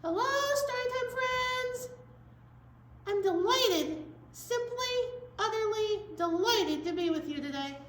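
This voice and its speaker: Woman voicing a high-pitched, delighted elephant. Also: expressive, slow pace, fairly bright tone, heavily acted. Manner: gushing, courteous. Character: polite, delighted elephant storytime friend. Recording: distant, echoey room with background noise.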